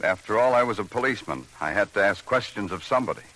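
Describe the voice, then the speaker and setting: An actor playing a deadpan, terse police detective who narrates his case and questions people in an old noir radio drama. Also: on the low side, fast talker, low-quality recording. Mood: wry, brooding.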